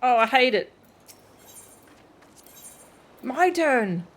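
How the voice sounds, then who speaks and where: A woman giving casual, expressive live commentary as she streams a game, reacting with playful indignation. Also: sits high, measured pace, slight background noise. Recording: game music behind the voice. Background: game music and character voice lines.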